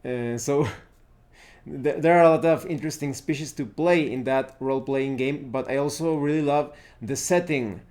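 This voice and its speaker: A man presenting an unscripted game review, explaining things deliberately and often pausing mid-sentence. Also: on the high side, darkish tone. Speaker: tabletop RPG reviewer, presenter.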